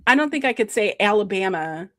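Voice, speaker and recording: A woman with a New Jersey accent hosting a casual podcast over a video call, enthusiastic and quick to laugh. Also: medium pitch, lively intonation, fairly bright tone. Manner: enthusiastic, laughing, slow and drawn-out. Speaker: podcast host. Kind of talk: casual chat.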